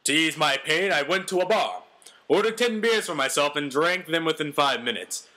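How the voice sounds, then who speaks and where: Man playing a brash, bright-voiced boastful quarterback. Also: a little high, lively intonation, moderate pace. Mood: indignant, confiding. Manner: melodramatic, boastful. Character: brash, self-important ex-convict beast quarterback telling his story.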